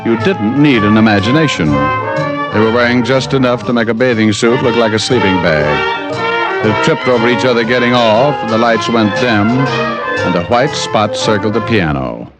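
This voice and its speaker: An actor playing a hard-boiled private detective who narrates with deadpan wisecracks in a 1950s radio drama. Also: male voice, fairly low pitch, normal speed, lightly acted. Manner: wisecracking, deadpan tough-guy patter. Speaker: narrator and lead. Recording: old radio recording with band music.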